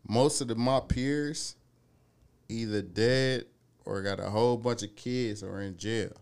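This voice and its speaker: A man in his thirties with a low, gravelly voice tells stories in loose, slangy talk, swearing as he goes. He comes from the Cleveland area and carries the chat with a lot of animation. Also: steady tone, unhurried, a little mellow. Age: 30s. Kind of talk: casual storytelling chat.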